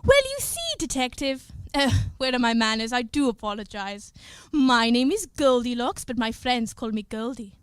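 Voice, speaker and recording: A woman's voice: on the high side, sing-song, moderate pace, hardly any pauses, clear, resonant tone. A woman acting a vain, flustered fairy-tale widow in a comic radio play, gushing and rambling with affected politeness. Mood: prim, rambling.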